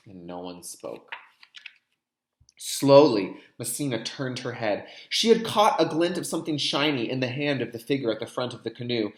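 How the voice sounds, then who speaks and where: Animated male teacher in an echoey room. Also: medium pitch. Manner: expressive, dramatic delivery, explains words to young listeners. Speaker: kindergarten teacher reading aloud. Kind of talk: read-aloud storytelling with teaching asides.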